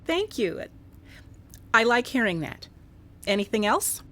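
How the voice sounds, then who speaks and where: An actress playing a sharp, persistent woman investigator with a wry, questioning manner in a radio drama. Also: a little high, big pitch swings, a little slow, heavily acted. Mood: focused, wry. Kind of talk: contemporary radio drama acting.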